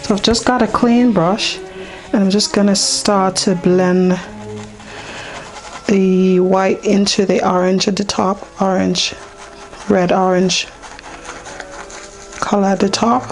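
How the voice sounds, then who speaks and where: A woman whose voice is hoarse and partly gone, in a mid-range pitch. She guides a beginner through each step of a painting in an easy, unhurried way, with frequent ums as she works. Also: fairly even intonation, soft background music, poor audio. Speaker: painting teacher. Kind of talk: casual step-by-step painting demonstration.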